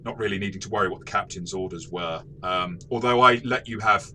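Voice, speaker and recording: A man with a fairly low but bright, forward voice discusses a tabletop game casually. He explains things reflectively, feeling his way through the phrasing. There is faint noise behind him. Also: fairly quick. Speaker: tabletop game reviewer and game master.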